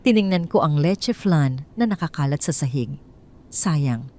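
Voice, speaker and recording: Low-set woman narrator, measured first-person drama storytelling. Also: animated, darkish tone.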